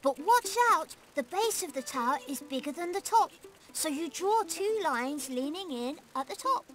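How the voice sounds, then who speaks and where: Little bunny boy showing how to draw, high and bouncy, patient, music behind. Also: expressive, moderate pace, fairly bright tone, poor audio. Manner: patient, explaining step by step. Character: young male rabbit, calm and helpful teacher. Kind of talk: children's cartoon voice acting.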